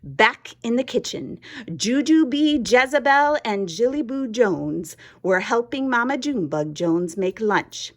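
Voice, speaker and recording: A woman reading a children's bedtime story aloud in an expressive storytelling style, with music behind her. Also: a little high, measured pace. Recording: music under the voice.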